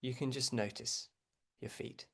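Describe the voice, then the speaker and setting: A man leading a guided meditation as a teacher, speaking gently and without hurry, with frequent pauses. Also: medium pitch, fairly even intonation, vocal fry, breathy edge.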